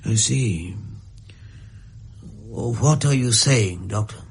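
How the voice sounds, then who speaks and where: An actor playing an anxious, gentle elderly father in a radio drama, speaking hesitantly in a husky voice. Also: lowish voice, lively intonation, extremely slow, slight background noise, full character voice. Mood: stunned, hesitant. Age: older. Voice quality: husky, creaky.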